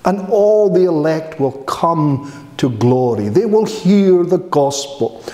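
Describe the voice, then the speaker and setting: A middle-aged male minister preaching a sermon from a lectern, measured and solemn, building emphasis through repeated phrases. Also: a little high, lively intonation, mellow, roomy sound. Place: church hall, indoors.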